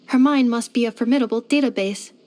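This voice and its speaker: Woman acting a polite robot girl, higher-pitched voice, earnest, exact machine-like phrasing, game character lines. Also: a little mellow, natural voice. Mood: calm, factual. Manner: formal, precise, measured delivery with clinical machine-like phrasing. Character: polite female robot maid, earnest, gentle and literal-minded.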